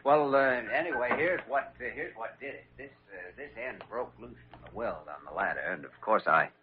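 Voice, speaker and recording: A man playing an earnest, brisk young hospital physician with a clinical manner, in 1940s radio drama style. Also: medium pitch, animated, close to an everyday voice. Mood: grave, intent. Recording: dull, noisy vintage radio recording.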